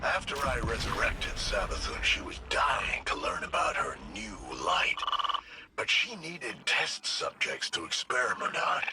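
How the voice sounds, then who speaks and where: A man's voice: lowish voice, very animated, a little slow, very noisy. A man voicing a sly, mocking machine companion in a video game, with sarcastic, slangy delivery. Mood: sardonic. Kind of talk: video game character dialogue. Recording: loud game ambience behind voice.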